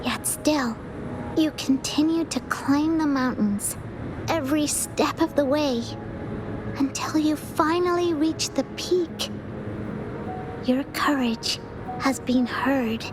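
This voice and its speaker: High-voiced, slow-spoken timid young child. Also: female voice, background noise. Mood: earnest reading. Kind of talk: video game voice acting. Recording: music behind the voice.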